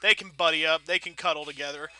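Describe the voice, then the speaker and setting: A man's voice: fairly high pitch, steady tone, quick pace, pushed and bright. A man giving casual, rambling commentary as he plays a game, playful and full of folksy mild exclamations. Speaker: gameplay video host.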